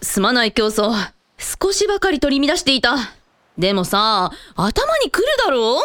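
A brusque, commanding woman with a hot temper , played by an actress, pitched fairly high and moving freely up and down. The delivery is blunt, sardonic and mannish in wording, in comic radio drama style. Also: big pitch swings, normal speed, fairly bright tone. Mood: indignant, sardonic.

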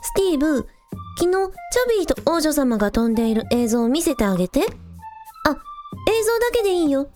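A high, animated young girl, played by a woman, casual and take-charge. She chats and teases in a breezy audio drama manner, with faint music behind. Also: brisk. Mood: easygoing, explaining. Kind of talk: Japanese audio drama acting.

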